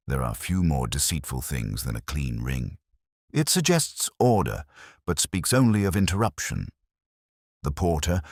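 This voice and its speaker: Very deep, brooding police inspector played by a man. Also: no room sound, clean studio sound, heavily acted. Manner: measured, ruminative, literary turns of phrase. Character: melancholy, sceptical English male police inspector narrating his case. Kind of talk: first-person scripted audio drama narration.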